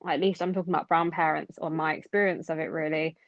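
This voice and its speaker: A woman's voice: low voice, flat delivery, quick pace, no echo. A young woman from London co-hosting a podcast, thinking aloud in casual chat with lots of fillers. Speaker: podcast co-host. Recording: dull dry lo-fi sound.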